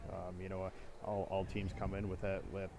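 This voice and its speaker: A man in his forties chatting about hockey as co-host, halting and leaning on "you know" fillers. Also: deep voice, monotone, background noise. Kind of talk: casual hockey talk.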